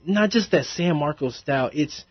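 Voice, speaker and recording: A man's voice: fairly high pitch, expressive, moderate pace, no echo. A young man, a rapper, chatting casually in a radio interview, laughing often and rambling with plenty of fillers. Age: young adult. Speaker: rapper guest. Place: college radio studio. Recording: dry, slightly dull radio studio sound.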